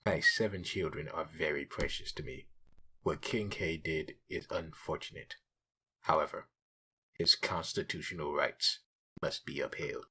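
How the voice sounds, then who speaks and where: A man playing a dry, polite, scheming police sidekick in a comic dub, with a deep raspy voice and formal, measured, deadpan delivery. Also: heavily acted, rough recording.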